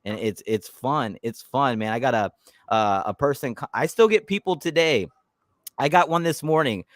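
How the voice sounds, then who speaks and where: Animated man hosting a fan live stream. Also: medium pitch, moderate pace, dry recording, clean studio sound. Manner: animated, repeats words and restarts often. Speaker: YouTube channel host. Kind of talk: casual live-stream chat.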